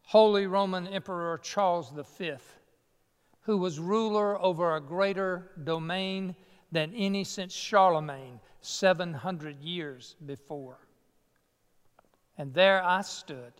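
A man's voice: high-pitched, smooth, in a large hall. A man pastor playing the reformer Martin Luther in a sermon monologue, folksy and self-deprecating, with a Southern US accent. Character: Martin Luther, old German monk reformer, earnest. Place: church sanctuary.

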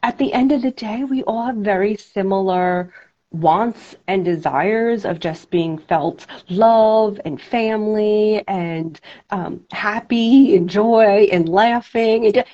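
A woman's voice: medium pitch, takes it slowly, dark tone, dull audio. A woman with a New York accent hosting a podcast, chatting casually with lots of fillers and restarts over a video call. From United States. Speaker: podcast host. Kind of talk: casual chat.

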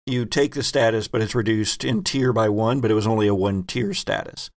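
A man acting as game master, explaining the rules and rulings of a tabletop game in a measured way. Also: on the low side, steady tone, no echo, clean studio sound.

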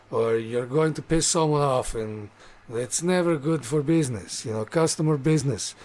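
A man's voice: mid-range voice, darkish tone, close to an everyday voice. A man playing a blunt orc barkeeper. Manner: broken grammar, clipped rules. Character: gruff male orc barkeeper, blunt and practical.

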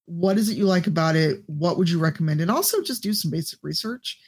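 Woman, deep husky voice, blunt and profane, casual podcast advice. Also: fast talker, darkish tone. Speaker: podcast guest, streamer.

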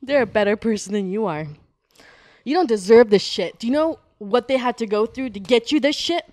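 A woman's voice: on the high side, a little fast, darkish tone, no echo, studio quality, lightly acted. A woman playing a hostile bad cop who goads and swears at a suspect during an interrogation. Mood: taunting. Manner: sneering, goading, repeats "huh?", swears.

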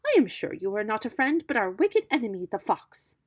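A woman's voice: fairly high pitch, big pitch swings, a little fast, slightly rough, no echo, studio quality, voice pushed up. A woman reading a storybook aloud, voicing a frightened little female pig who pleads in distress.

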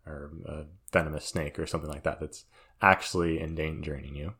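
A man's voice: very deep voice, steady tone, fairly quick, sharp tone, slightly rough. A man co-hosting a podcast, reasoning aloud thoughtfully in casual chat. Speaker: podcast co-host.

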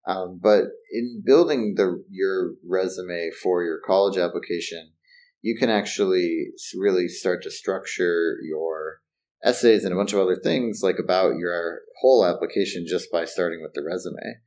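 Upbeat lower-voiced male podcast host. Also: moderate pace, a little mellow, rough recording. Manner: upbeat, casual, uses "right?" and "like". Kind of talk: podcast hosting and interviewing.

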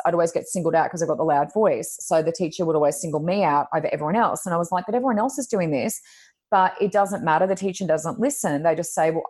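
A woman with a loud voice, a podcast co-host telling a personal story in casual conversation and acting out her own thoughts. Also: fairly low pitch.

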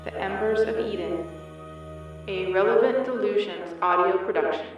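A woman's voice: medium pitch, moderate pace, lightly acted. A woman voicing an impassive ship computer in an audio drama, making clipped, formal, impersonal announcements. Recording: very echoey, muffled, distant, music behind.

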